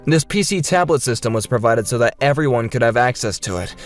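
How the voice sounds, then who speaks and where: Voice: medium pitch, lively intonation, brisk, faint music behind, natural voice. A narrator voicing a stern, indignant father, firm and reproachful, in a manga dub. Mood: stern anger. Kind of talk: manga dub character acting.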